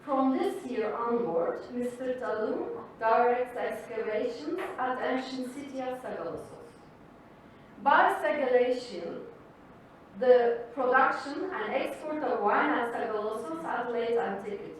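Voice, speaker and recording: A Turkish woman delivers a measured, formal welcome as host of a wine evening. Her voice sits high and her pace is slow. She is heard from a distance in a very reverberant hall with faint noise behind her. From Turkey. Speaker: event host and wine professional. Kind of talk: prepared welcome speech. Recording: distant mic in an echoey hall.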